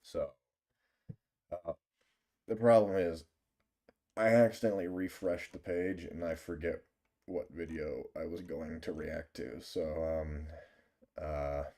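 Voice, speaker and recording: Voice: sits low, steady tone, takes it slowly, long pauses, mellow. A livestreamer chatting casually while gaming and reading game text aloud, playful, rambling and mock-dramatic.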